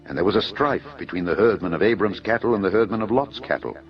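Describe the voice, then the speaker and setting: A man with a very low voice narrates scripture as a dramatised reading. His delivery is solemn and measured, like formal storytelling. Music plays clearly behind him, and the audio is slightly dull. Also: expressive. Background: background music.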